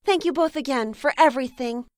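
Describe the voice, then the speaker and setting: Actress as high-voiced, perky superhero sidekick. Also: female voice, fairly quick, a little mellow, dry recording, clean studio sound, lightly acted. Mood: brightly businesslike. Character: eager, perky superhero sidekick woman with businesslike streak. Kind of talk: comedic audio drama acting.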